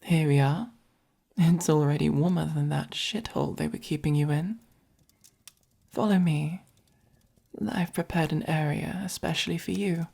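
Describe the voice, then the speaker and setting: Woman as a soft, breathy English vampire. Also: low voice, steady tone, exaggerated voice. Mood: protective, soothing. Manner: slow, deliberate, commanding. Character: haughty, possessive but caring vampire buying a human pet. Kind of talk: soft-spoken ASMR roleplay.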